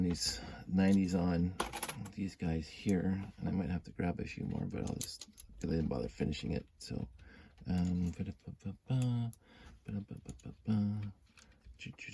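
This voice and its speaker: A man's voice: low voice, gravelly, warm tone, slight background noise. A man with a stuffy cold, thinking aloud while he builds, rambling and humming as he works. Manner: rambling, self-deprecating, hums and mutters while working. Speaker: building hobbyist vlogger.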